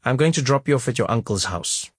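A man voicing a despairing father who speaks in a flat, subdued way. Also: medium pitch, quick pace, husky, dry recording, studio quality.

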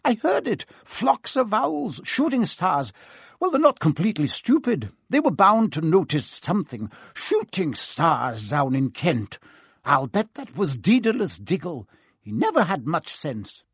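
A man's voice: high-pitched, very animated, measured pace, a bit bright, husky, dry recording, clean studio sound, heavily acted. A man voicing a stern, prim older woman professor of witchcraft who talks in a clipped, impatient way. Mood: impatient scolding. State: trembling with shock at the end. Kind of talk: audiobook character voice.

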